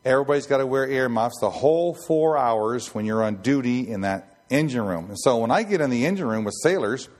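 Raspy man in his sixties preaching. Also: medium pitch, expressive, brisk, hardly any pauses, darkish tone. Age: 60s. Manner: rambling, many fillers and restarts. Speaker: ship chaplain preacher. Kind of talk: informal Bible teaching.

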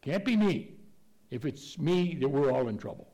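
A man's voice: fairly high pitch, expressive, normal speed, dark tone, low-quality recording. A man preaching a conversational Bible teaching, folksy and plainspoken, with an occasional stutter and restarts.

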